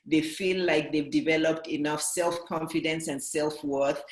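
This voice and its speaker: A woman's voice: sits low, steady tone, measured pace. Earnest Nigerian-British woman, echoey room. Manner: earnest, repetitive, building points by restating. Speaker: business coach. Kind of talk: motivational talk to camera.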